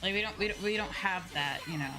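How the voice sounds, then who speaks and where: A woman giving casual, rambling, soft-hearted live commentary as she streams a video game, speaking into a close mic. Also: medium pitch, fast, over music, low-quality recording.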